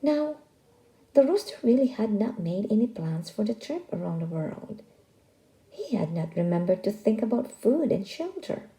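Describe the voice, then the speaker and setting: A woman reading a children's story aloud as scripted narration, expressive and deliberate in delivery. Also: medium pitch, low-quality recording.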